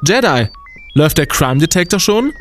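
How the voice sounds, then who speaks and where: An actor playing a pompous, blustering young team captain in a comic radio play. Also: male voice, fairly high pitch, very animated, normal speed, soft background music.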